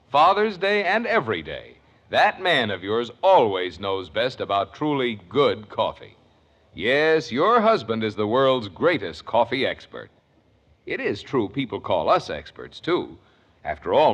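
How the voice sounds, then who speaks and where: A man's voice: medium pitch, a bit bright. A man reading a commercial as a 1950s radio announcer.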